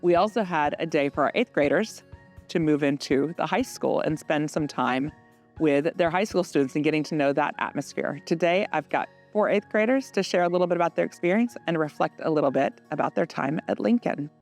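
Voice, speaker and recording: A woman's voice: low-pitched, fairly quick, gravelly, soft background music. Woman hosting a reflective school interview. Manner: reflective, asks open questions with gentle follow-ups. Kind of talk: conversational school podcast interview.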